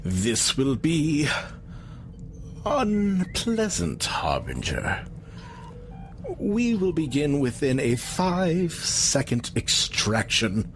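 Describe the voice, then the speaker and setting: A man voicing a pompous, scheming alien scientist in an audio drama, speaking in a drawn-out, theatrical way. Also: a little high, huge pitch swings, a little hiss or hum, full character voice. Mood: ominous. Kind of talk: audio drama villain acting.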